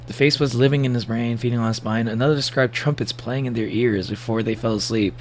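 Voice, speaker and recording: A man with a gravelly voice giving a casual livestream reaction, rambling and joking playfully as he comments and reads bits aloud. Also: mid-range voice, fairly even intonation, fast talker, a little hiss or hum. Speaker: streamer reacting to horror videos.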